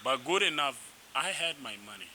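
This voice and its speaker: A young man from South Sudan, a musician being interviewed, telling his story in a rambling, animated way with restarts. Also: a little high, moderate pace. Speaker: musician guest. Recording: noisy background.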